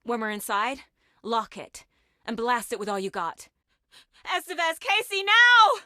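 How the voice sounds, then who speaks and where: A woman's voice: a little high, very animated, measured pace, sharp tone, no room sound, studio quality, heavily acted. An actress voicing a determined, intense woman FBI agent in dramatic video game dialogue. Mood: urgent, commanding.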